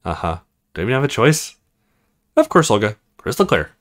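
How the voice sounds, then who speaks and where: A man's voice: on the low side, very animated, fairly quick, pitched below the performer's own voice. A man voicing an awkward young male recruit in a visual novel, hesitant and grumbling to himself.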